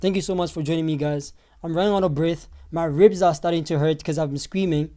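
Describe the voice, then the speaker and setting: Brief: South African man, hoarse and losing his voice, streamer answering chat, mellow tone. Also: fairly high pitch, fast talker. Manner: animated, talks back to viewers' comments. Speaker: live stream host. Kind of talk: live stream commentary reading chat.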